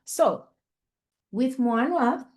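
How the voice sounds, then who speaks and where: A woman's voice: on the high side, expressive, very slow, a little mellow. A young woman teaching a webinar over a video call, speaking in a chatty, encouraging way with frequent ums. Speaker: webinar instructor. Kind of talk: online lecture. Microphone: computer mic on a video call.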